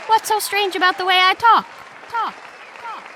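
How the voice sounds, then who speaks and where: A high, echoing voice rings out over a noisy crowd: a grandiose female politician. She declaims as if from a podium in an audio comedy, repeating her final words through a PA echo. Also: normal speed, pushed and bright, distant mic. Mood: self-assured. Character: grandiose female small-town politician giving public speeches.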